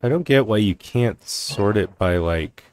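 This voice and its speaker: Low, slow-talking male gamer. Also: animated, darkish tone, dry recording. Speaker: gaming streamer playing through a game. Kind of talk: casual, low-key gameplay commentary. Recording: game music behind voice.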